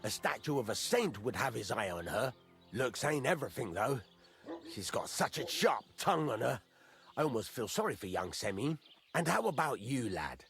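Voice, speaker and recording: An actor playing a gruff, fatherly middle-aged master blacksmith in a medieval video game, blunt and folksy, teasing as he talks. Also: mid-range voice, big pitch swings, moderate pace, fairly bright tone, faint music behind. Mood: teasing, advising. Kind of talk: video game dialogue acting.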